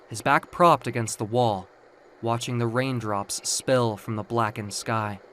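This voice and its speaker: Man narrating dark fiction. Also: medium pitch, a little hiss or hum.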